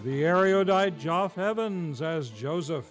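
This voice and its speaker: Male vintage radio host: high voice, slow showy announcing, faint music behind. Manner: showy, grandly enunciated. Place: theater stage, live show.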